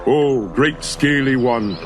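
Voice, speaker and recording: A man's voice: mid-range voice, slow, music in the background, lightly acted. A man voicing a wise, fearless lion king in a storybook, regal, firm and formal. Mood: kindly, firm. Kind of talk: storybook character voice.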